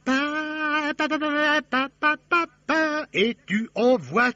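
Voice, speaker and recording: A man playing a fussy, excitable theatrical director in a radio play, exclamatory and cajoling. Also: very high-pitched, very slow, no echo, soft background music, exaggerated voice. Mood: anxious, urgent. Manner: theatrical, exclamatory, cajoling, sings trumpet cues. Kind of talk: French radio play acting. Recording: dull band-limited old radio recording.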